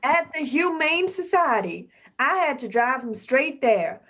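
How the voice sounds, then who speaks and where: A woman plays a weary mother in a radio play. Her voice is high and swings widely in pitch. She speaks slowly, with exasperation. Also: sing-song, a bit bright, heavily acted. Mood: exhausted, exasperated. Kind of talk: amateur radio drama acting.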